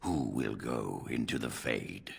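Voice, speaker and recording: Learned senior mage, extremely low gravelly voice, grave, formal, slow, fantasy game. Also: male voice, full character voice. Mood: grave, measured. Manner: formal, deliberate. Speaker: game character. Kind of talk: video game voice acting.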